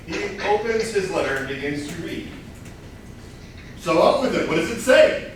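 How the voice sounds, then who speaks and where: A man's voice: high voice, very animated, moderate pace. A man narrating stage directions with dry comic asides in a comedy staged reading. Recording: distant mic, echoey room, faint noise.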